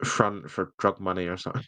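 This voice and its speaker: A man's voice: sits low, little pitch movement, fairly quick, sharp tone, no room sound. A British man co-hosting a podcast in casual banter, with a wry, rambling, sweary way of talking. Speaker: podcast co-host.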